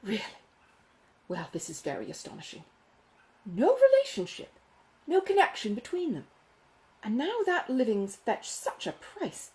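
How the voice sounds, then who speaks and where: Woman playing fussy money-minded regency gent, pompous, very swoopy pitch, soft mellow tone. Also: huge pitch swings, a little slow, pauses often, low-quality recording. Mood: astonished, reasoning. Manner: pompous, exclamatory, confiding. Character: self-important, money-minded gentleman, fussy and astonished. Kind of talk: acted audiobook dialogue from a Regency novel.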